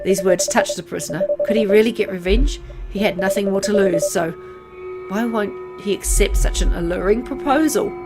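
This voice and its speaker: Woman, gameplay narrator, expressive read-aloud, medium pitch, loud music behind. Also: moderate pace. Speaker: gameplay narrator reading a visual novel aloud. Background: loud game music.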